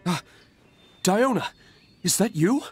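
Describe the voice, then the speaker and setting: A man playing an anxious, apologetic young village hunter in a video game, earnest and halting. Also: sits high, lively intonation, breathy edge, heavily acted. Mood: surprised, friendly. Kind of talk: scripted video game dialogue. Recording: music behind. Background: background game music.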